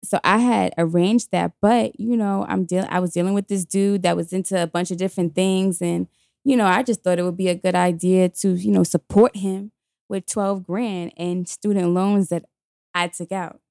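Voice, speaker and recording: A woman's voice: fairly low pitch, steady tone, normal speed, vocal fry, darkish tone, no room sound, clean studio sound. A woman, telling a personal story bluntly and emphatically in slangy casual chat. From Queens, New York.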